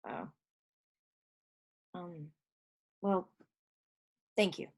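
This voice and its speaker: A woman's voice: fairly low pitch, steady tone, extremely slow, darkish tone, lo-fi sound. An actress playing an awkward, self-deprecating young woman fresh out of rehab in a stage play. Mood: flustered, hesitant. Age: young adult.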